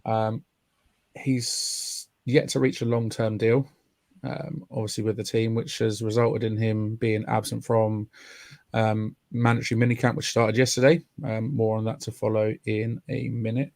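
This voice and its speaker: A man hosting a podcast in casual chat, raspy from a chest infection. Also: lowish voice, flat delivery, unhurried, darkish tone, dry recording. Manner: chatty, friendly, many ums. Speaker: podcast host. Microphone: remote call mic.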